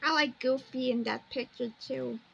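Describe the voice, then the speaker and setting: A woman giving unscripted, halting commentary on pictures, rambling a little and repeating phrases. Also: sits high, fairly even intonation, vocal fry, fairly bright tone, slightly rough. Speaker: video host. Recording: dull lo-fi recording with background noise.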